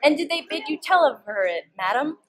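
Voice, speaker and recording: A teenage girl playing a deferential gentlewoman attendant who joins in a plot, in a student Shakespeare performance. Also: sits high, animated, moderate pace. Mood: earnest, deferential. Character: Ursula, young gentlewoman attendant, deferential co-conspirator.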